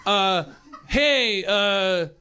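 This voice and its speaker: A man hosting a comedic talk podcast in a rambling, halting way, often stammering and repeating words. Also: high-pitched. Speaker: podcast host.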